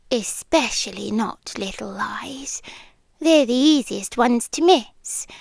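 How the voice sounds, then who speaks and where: A possessive British yandere girlfriend, acted by a woman, speaks in a high, hushed voice for an ASMR roleplay. She draws her words out very slowly and often slips into whispers, sweetly menacing. The sound is close and dry. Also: creaky, dry recording, exaggerated voice. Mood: mock concern. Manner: slow, deliberate, hushed, often slipping into whispers. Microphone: close microphone, dry.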